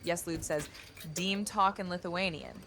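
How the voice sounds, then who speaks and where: A woman's voice: fairly low pitch, a little slow, a bit bright, a little hiss or hum. A woman's voice reading chat requests and stiff, formal replies, repeating the same odd word of address. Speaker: chat-command voice reading messages.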